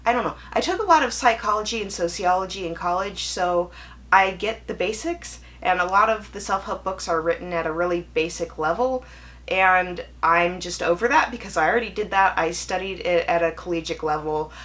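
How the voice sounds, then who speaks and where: A woman's voice: fairly low pitch, fairly quick, fairly bright tone. A young woman talking casually and candidly in a chatty vlog monologue, correcting herself as she goes. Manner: conversational and candid, self-correcting, mimics imagined voices. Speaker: book reviewer and video host.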